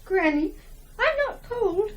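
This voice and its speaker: An actress playing a timid, curious young grandchild, small and hesitant, in a radio horror drama. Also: female voice, very high-pitched, lively intonation, slow, full character voice.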